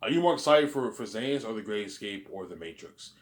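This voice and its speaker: A man's voice: on the low side, animated, a little fast. A man from Canada with a mild Canadian accent, talking in a rambling casual monologue that often repeats and restarts words.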